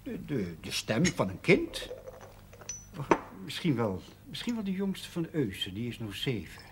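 An actor playing an earnest, pompous amateur playwright in a television drama, halting and theatrical. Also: male voice, mid-range voice, wildly swooping pitch. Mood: earnest, hesitant. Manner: hesitant, halting, declamatory. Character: earnest, pompous amateur playwright presenting his lofty verse. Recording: noisy old television broadcast audio.

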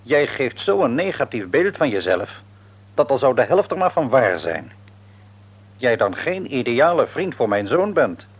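A shrewd middle-aged businessman in a Dutch radio drama, with a Netherlands accent. His voice is husky and moves animatedly up and down. He probes and patronises, jovial on the surface and domineering underneath. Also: mid-range voice, very animated, unhurried, exaggerated voice. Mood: patronising, insistent. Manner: probing, patronising, wordy. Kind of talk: Dutch radio play acting.

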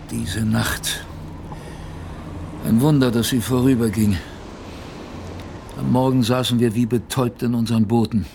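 An actor playing a haunted, plainspoken expedition survivor who recounts hardship in dramatic, halting audio drama narration. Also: male voice, medium pitch, vocal fry, darkish tone, a little hiss or hum. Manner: dramatic, halting with emphatic repetition.

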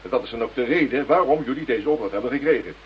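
An actor playing a skeptical senior police commissioner in a radio drama, brisk and dryly sarcastic. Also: male voice, medium pitch, slight background noise, lightly acted. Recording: dull lo-fi radio drama recording.

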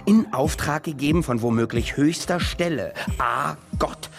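A man's voice: fairly high pitch, a little slow, vocal fry, faint music behind. An actor playing a reluctant, flippant agency man in a satirical radio drama, wry and evasive.

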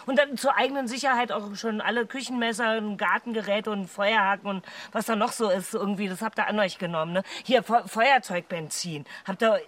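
A woman's voice: medium pitch, fairly quick, few pauses, fairly bright tone, lightly acted. An actress playing an anxious, exasperated businesswoman and mother in her forties in a naturalistic radio drama, agitated and sarcastic, repeating herself. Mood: biting sarcasm. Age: 40s.

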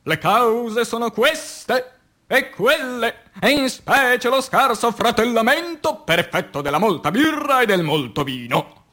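A man reciting poetry as a stage actor, in a dramatic, declamatory and solemn manner with long pauses. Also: extremely high pitch, expressive, sharp tone. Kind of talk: dramatic theatrical poetry recitation for radio theatre.

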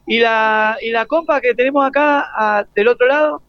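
A man's voice: very high-pitched, clear, resonant tone, no echo, highs cut off. A man with an Argentine accent conducting a live radio interview, hesitating and repeating words as he forms his questions. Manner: halting, repeats words while forming questions.

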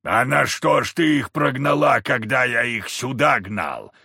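Мужчина изображает грохочущего невидимого великана. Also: male voice, very animated, takes it slowly, vocal fry, sharp tone, slightly rough, no echo, studio quality, exaggerated voice. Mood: angry. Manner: booming, rumbling. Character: huge invisible giant husband, gruff and menacing.

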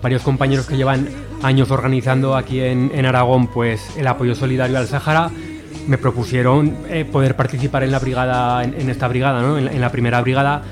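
A man, an activist guest in a radio interview, speaking earnestly with halting restarts. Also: mid-range voice, flat delivery, music in the background.

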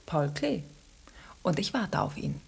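A woman's voice: sits low, sing-song, takes it slowly, creaky, husky, exaggerated voice. A woman playing a touchy, sensual female cat in a radio drama, purring and sulky in a dramatic way. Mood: cool, offended.

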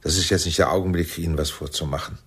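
An actor playing a paternal, persuasive intelligence chief in a radio play, theatrical, with a deep husky voice. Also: male voice, fairly even intonation, fast. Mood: grave, persuasive.

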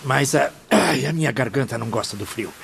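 A man playing a fussy, reluctant grumbler in a radio drama, with a creaky voice. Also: medium pitch, a little fast, fairly bright tone. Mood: grudging. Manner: throat-clearing, grumbling. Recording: faint ambient noise.

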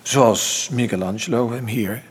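A man's voice: mid-range voice, unhurried, slightly rough. A man playing a weary, learned middle-aged father in a radio drama, with a hesitant, explanatory way of talking. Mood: lecturing.

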